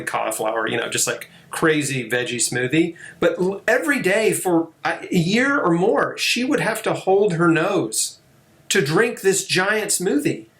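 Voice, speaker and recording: A man's voice: a little high, a bit bright. A middle-aged man hosting a casual interview, telling stories enthusiastically with many "you know"s and "right?"s. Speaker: host.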